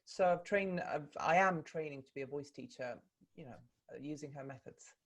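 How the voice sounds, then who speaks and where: A woman's voice: deep voice, moderate pace, muffled recording. A woman from Sweden, a native Swedish speaker, talks in English as a guest in a video call interview. She speaks thoughtfully, with many ums and restarts. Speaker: actor and voice coach, interview guest. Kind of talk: relaxed conversational interview.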